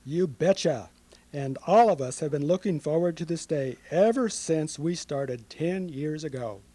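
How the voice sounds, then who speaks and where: A man playing a friendly, helpful laboratory researcher with a husky voice in an old-time radio drama. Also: a little high, animated, a little slow, mellow. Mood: helpful, surprised. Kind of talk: Golden Age radio drama acting.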